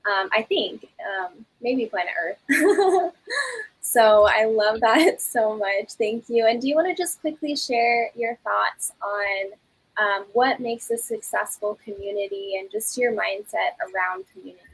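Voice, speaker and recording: A breathy woman with a slightly high voice hosts an online community call. She talks in a chatty, encouraging way and fills pauses with ums. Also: poor audio. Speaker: community host. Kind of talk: live online community session.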